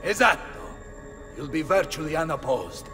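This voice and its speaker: An actor playing a boisterous middle-aged mercenary captain, booming and theatrical, in a video game. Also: male voice, big pitch swings, normal speed, long reverb tail, music in the background. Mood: hearty, confident. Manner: blustering, theatrical, swinging between bellows and tenderness.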